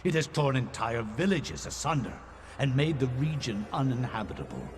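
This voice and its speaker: An actor playing a scheming servant of a dark god in a video game, formal and obsequious in manner. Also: male voice, medium pitch, very animated, moderate pace, close to an everyday voice. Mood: reverent scheming. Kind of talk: video game cutscene acting. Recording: noisy game capture with ambience.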